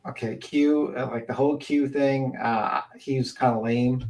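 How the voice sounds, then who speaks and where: A man's voice: medium pitch, fairly even intonation, measured pace, a little mellow. A man in casual panel chat who jokes, dodges questions and rambles. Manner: joking, evasive, rambling. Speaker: panel guest.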